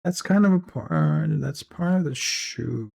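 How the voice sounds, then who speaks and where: A man's voice: fairly high pitch, measured pace, gravelly, darkish tone. A man with a South African English accent and Afrikaans as his first language, chatting casually and laughing easily with viewers as a streamer. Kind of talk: casual chat with viewers while 3D modeling.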